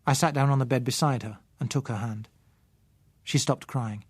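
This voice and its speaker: A British man narrates a first-person novel. His voice is mid-pitched and his delivery is measured and literary. The recording is dry, with very little room around the voice. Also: husky, no room sound. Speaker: audiobook narrator.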